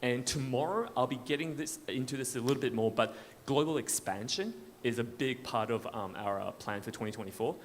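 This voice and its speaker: A man's voice: medium pitch, brisk, hardly any pauses. A man on a conference panel answering an audience question in an informal way. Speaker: panelist representing a blockchain foundation. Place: large event space at a conference. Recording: echoey noisy hall, event PA.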